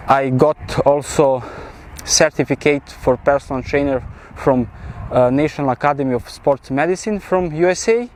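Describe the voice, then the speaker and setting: Man in his twenties, Serbian accent, mid-pitched voice, halting non-native English, casual talk, clearly noisy recording. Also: a little mellow. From central Serbia. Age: 20s. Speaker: personal trainer and vlog subject.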